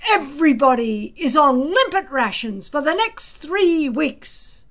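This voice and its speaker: A woman's voice: sits high, sing-song, fairly bright tone, pitched above the performer's own voice, heavily acted. Woman putting on a bellowing, blustering Viking warrior man. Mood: menacing. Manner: bellowing, sarcastic, drawn-out emphatic words. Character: gruff, blustering, bullying Viking warrior man, drill instructor.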